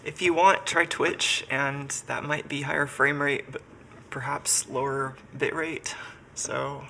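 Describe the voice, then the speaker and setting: A man's voice: mid-range voice, level tone, slow pace, gravelly, pushed and bright, slight background noise. A man who is sick and congested, chatting casually on a live stream from his workshop and thinking aloud with lots of fillers. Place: home workshop.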